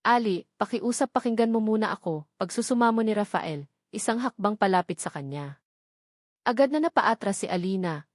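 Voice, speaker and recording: A woman reading a scripted story in an emotive, deliberate, dramatic narrating style. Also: mid-range voice, darkish tone, no room sound, clean studio sound.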